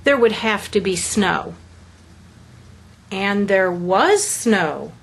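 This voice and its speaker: A woman reading a children's picture book aloud as a storyteller, with deliberate, expressive phrasing. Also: medium pitch, vocal fry, slight background noise.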